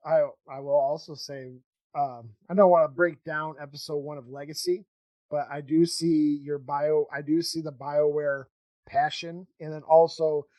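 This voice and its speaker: A man hosting a podcast in casual conversation, talking in a halting, rambling way as he thinks aloud. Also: fairly high pitch, no echo, highs cut off. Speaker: podcast host interviewing a guest. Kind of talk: casual chat.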